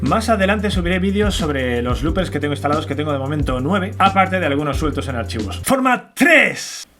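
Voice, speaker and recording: A man's voice: on the high side, sing-song, fairly quick, fairly bright tone, soft background music. A man with a Spain Spanish accent presenting an informal tutorial in a colloquial, chatty way, with conversational asides.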